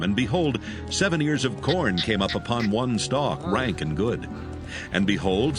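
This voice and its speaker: A man narrating scripture as a solemn storyteller in a dramatized audio style. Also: lowish voice. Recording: music behind the voice.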